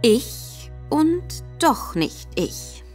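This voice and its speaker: An actress playing a clever female investigator, narrating in a measured, self-assured way in a radio play. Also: high-pitched, sing-song, darkish tone, faint music behind, full character voice. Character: Irene Adler, a female investigator, cool and clever.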